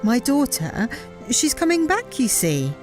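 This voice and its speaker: Woman playing high-voiced, fragile, anguished mother. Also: big pitch swings, a little mellow, faint music behind. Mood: fragile, defensive. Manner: halting, emotional. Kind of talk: audio drama acting.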